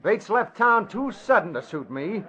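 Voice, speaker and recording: An actor playing a brisk, slangy, keen newspaper reporter in a vintage radio drama. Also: male voice, high-pitched, very animated, sharp tone, heavily acted. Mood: brisk, eager. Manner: keen, matter-of-fact, slangy. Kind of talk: 1940s radio acting. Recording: dull vintage radio recording.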